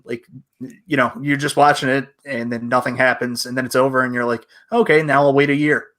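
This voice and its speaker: A man with a bright, forward voice co-hosts a casual livestream. His delivery is wry, with a deadpan way of joking. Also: mid-range voice, fairly quick. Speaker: co-host.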